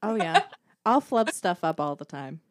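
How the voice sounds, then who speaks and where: A woman's voice: lowish voice, big pitch swings, unhurried, no room sound, studio quality. A woman co-hosting a podcast in casual chat, easygoing and agreeable. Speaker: podcast co-host.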